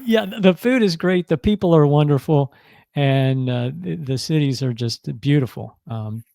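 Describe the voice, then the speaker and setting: A man's voice: a little high, normal speed, vocal fry, dark tone, no room sound. Upbeat male podcast host, dry sound. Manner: friendly, enthusiastic questioning. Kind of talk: conversational podcast interview.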